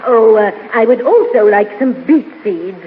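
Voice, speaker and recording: A woman's voice: a little high, lively intonation, measured pace. A woman playing a polite, genteel older neighbor lady in a 1940s radio comedy, gracious and a little hesitant. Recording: tinny, hissy 1940s radio broadcast.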